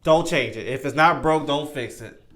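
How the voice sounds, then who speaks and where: A man's voice: fairly high pitch, normal speed, fairly bright tone. Laid-back man reacting. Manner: laid-back, rambling, conversational. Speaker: reaction video host. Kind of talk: casual reaction commentary.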